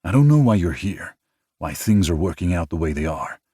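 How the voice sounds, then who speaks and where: A man's voice: deep voice, big pitch swings, moderate pace, warm tone, husky, no room sound, studio quality, exaggerated voice. A man voicing a frank, direct adult man speaking plainly to a rival about a woman they both care for.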